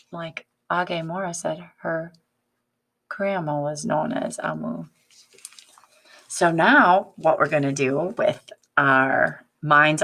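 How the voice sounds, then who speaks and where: A woman reading a picture book aloud like a teacher, expressive and instructive, addressing young children with questions. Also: low-pitched, slight background noise.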